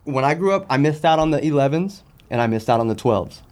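A man's voice: mid-range voice, animated, fast talker, a little mellow. An American man in his thirties chatting casually as a podcast guest, blunt and slangy, often repeating himself. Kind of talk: casual chat.

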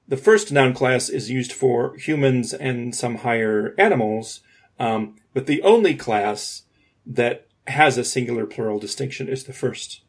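A man's voice: mid-range voice, a little slow, slightly rough. A man co-hosting a podcast, explaining things informally and articulately. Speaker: podcast co-host.

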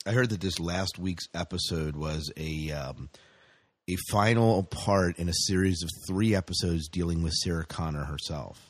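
A man co-hosting a podcast, talking casually about TV shows and often pausing to think aloud. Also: sits low, dry recording. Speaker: podcast co-host leading the discussion. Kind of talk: casual TV discussion chat.

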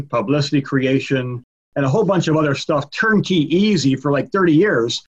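A male interview host with a somewhat high, husky voice introduces his guest and asks questions in an upbeat, polished way. The audio is dull and muffled, like a lo-fi recording. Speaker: show host.

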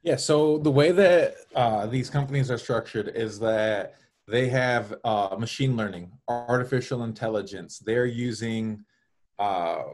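A man speaking as a podcast guest in a relaxed, conversational way. He explains things plainly, one step at a time. His voice sits at an ordinary middle pitch. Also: measured pace. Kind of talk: casual conversation.

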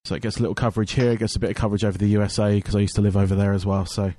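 A hoarse young man hosting his radio show and podcast, chatting casually with lots of ums and restarts. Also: fairly low pitch, little pitch movement, racing, warm tone, no echo. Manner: rambling, many ums and restarts. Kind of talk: casual chat.

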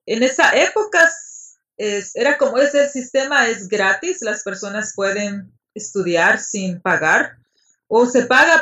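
A middle-aged woman with a Salvadoran accent, a language teacher answering interview questions hesitantly with soft pauses. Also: a little high. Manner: hesitant, laughs softly between answers. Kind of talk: conversational interview. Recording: dull, lo-fi audio.

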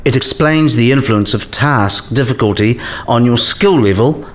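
A man playing a learned, teasing professor in a radio play, deadpan and lecturing. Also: medium pitch, moderate pace, lightly acted. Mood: dry, explanatory. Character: teasing, dry-witted male professor, learned and smug. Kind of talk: acted two-person dialogue.

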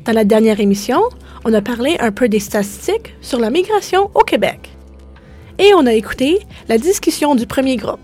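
A woman hosting a podcast, reading a scripted introduction in a clear, measured way. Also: fairly high pitch, animated, darkish tone, faint music behind. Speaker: podcast host. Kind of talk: scripted bilingual narration.

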